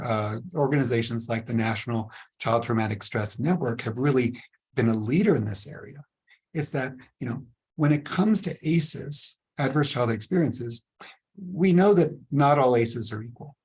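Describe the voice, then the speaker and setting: A man's voice: medium pitch, darkish tone, breathy edge. A man giving a webinar lecture over a video call, hedging with "kind of" and often repeating words. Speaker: presenter.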